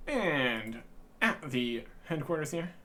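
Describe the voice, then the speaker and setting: A man's voice: fairly high pitch, very animated, slow, pushed and bright. A man giving casual, rambling gameplay commentary in an understated way. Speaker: video game let's player.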